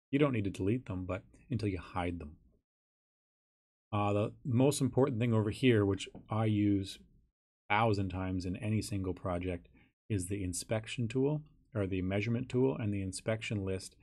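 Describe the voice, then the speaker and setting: A hoarse, raspy man teaching a software tutorial in a casual way, thinking aloud with ums and restarts. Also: fairly low pitch. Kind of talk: screen-recorded software tutorial.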